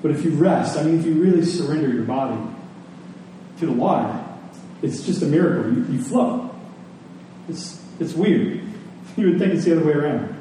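A man's voice: fairly high pitch, animated, moderate pace, dark tone. A man preaching a church sermon as a pastor, emphatic and repeating phrases for weight, with conversational asides and rhetorical questions. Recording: echoey room, distant mic, faint noise.

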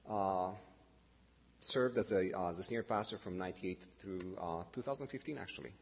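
A man's voice: deep voice, creaky. Middle-aged man, Romanian accent, pastor, hesitant delivery, dull lo-fi sound in a big echoing hall. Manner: halting, many fillers and self-corrections. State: nervous. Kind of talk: informal sermon introduction. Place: church hall.

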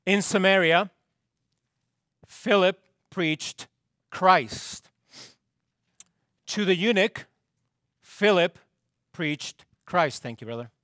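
Slow, emphatic preacher with a strained voice. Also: male voice, lively intonation, a bit bright, no room sound, studio quality. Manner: slow, emphatic, repeats key phrases, drops pitch at line ends. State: losing his voice, clearing throat. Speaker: pastor. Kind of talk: sermon.

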